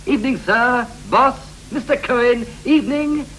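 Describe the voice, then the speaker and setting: An actor playing a restless, wistful husband in a 1960s radio play, speaking in clipped, rhythmic, theatrical phrases. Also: male voice, very high-pitched, moderate pace, fairly bright tone, poor audio. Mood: brisk, declamatory. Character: John, restless husband wistfully recalling youth and marriage. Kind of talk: 1960s BBC radio play, poetic stylised dialogue. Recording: 1960s radio recording with hum, hiss.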